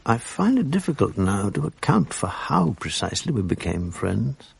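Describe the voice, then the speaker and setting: An actor playing a reflective English man who narrates memories of boarding-school days in a measured, articulate, literary way. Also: medium pitch, sing-song, vocal fry, breathy edge, exaggerated voice. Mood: wistful, reflective. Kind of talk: literary first-person drama narration.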